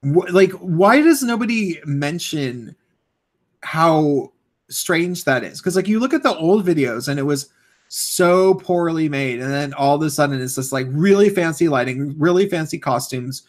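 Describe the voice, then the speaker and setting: Young man, hoarse, rambling streamer monologue, a bit higher-pitched than most men. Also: expressive, measured pace. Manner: rambling, full of fillers and restarts. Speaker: YouTuber live-streaming. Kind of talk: casual live-stream rant.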